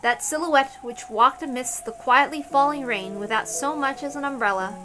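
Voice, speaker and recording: A woman's voice: sits high, moderate pace, background noise. A woman reading a visual novel aloud as a narrator, in a storytelling style. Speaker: reader of a visual novel for an audience.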